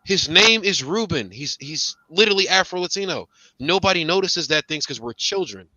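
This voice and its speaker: A man from Chicago with a bright, forceful voice chats casually, restarting sentences often. He gets animated and climbs in pitch when he makes a point. The sound is close and dry, with no room echo. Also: moderate pace, lo-fi sound. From Chicago, raised in Indiana. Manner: talks in fragments with restarts. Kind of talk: casual conversation.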